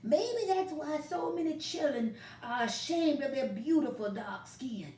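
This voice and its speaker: Old storybook grandpa, put on by a woman: folksy drawl, firm and loving, lively delivery, roomy distant recording. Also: high voice, a little slow, slight background noise, voice pushed up. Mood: tender.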